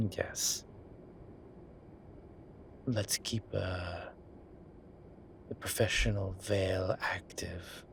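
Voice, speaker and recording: A man voicing a flirtatious demon professor who keeps up a genteel, professional front, speaking softly and often in a whisper. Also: low-pitched, slight background noise, full character voice. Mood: hushed. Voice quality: soft, often whispered, unhurried. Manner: teasing, measured, genteel. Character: flirtatious male demon professor, composed, teasing. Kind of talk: audio roleplay.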